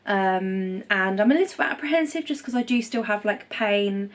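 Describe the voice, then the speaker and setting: Young woman, congested, casual home vlogger; chatty, reassuring, mid-pitched. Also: expressive, moderate pace. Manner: chatty, reassuring, many ums and self-corrections. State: nasally congested after nose surgery. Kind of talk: casual recovery vlog to camera.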